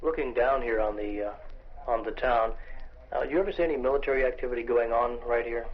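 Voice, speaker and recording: A man working as an army radio reporter, asking questions and describing the view in a measured, hesitant way on a vintage field recording. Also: medium pitch, lo-fi sound, a little hiss or hum. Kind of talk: on-location interview. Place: observation tower.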